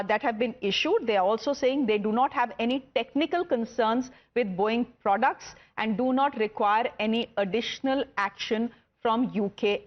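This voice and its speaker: A woman's voice: on the high side, dull audio. A woman with an Indian accent moderating a live television news panel as its host.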